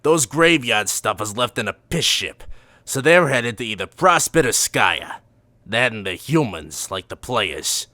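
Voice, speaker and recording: A man's voice: mid-range voice, sing-song, measured pace, pushed and bright, dry recording, pitched above the performer's own voice. A man voicing a foul-mouthed, power-hungry gangster boss with a blunt, menacing swagger. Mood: commanding.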